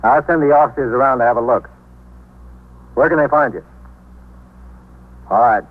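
A man's voice: mid-range voice, normal speed, close to an everyday voice. Clipped American police desk sergeant, old-radio sound man acting. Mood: businesslike. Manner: clipped, questioning. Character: businesslike male police desk sergeant taking calls. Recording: muffled, noisy 1950s radio recording.

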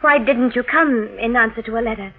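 An actress playing an anxious, inquisitive wife in a 1940s radio mystery, speaking in a hesitant, probing way. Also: female voice, a little high, fairly quick, phone-quality sound, lightly acted. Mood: wary, tense. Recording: thin vintage radio broadcast, music underneath.

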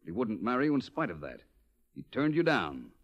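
Suave deep-voiced 1940s radio detective. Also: male voice, huge pitch swings, fairly quick. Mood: coolly accusing. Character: suave, clever, self-assured male detective. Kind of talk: 1940s radio drama acting. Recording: muffled old 1940s radio recording.

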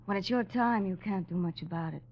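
A woman's voice: mid-range voice, a little fast, lightly acted. A dull, slightly noisy old radio recording carries an actress as a haunted woman resigned to death. She speaks in a knowing, fatalistic way, with old-fashioned dramatic delivery. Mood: resigned, quiet. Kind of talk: 1950s radio drama acting.

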